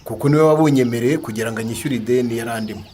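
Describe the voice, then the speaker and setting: A man's voice: mid-range voice, racing, darkish tone, a little hiss or hum, lightly acted. A man with a Rwandan accent acting a self-assured cattle-owning villager in a radio drama, protesting and justifying himself. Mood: puzzled, protesting.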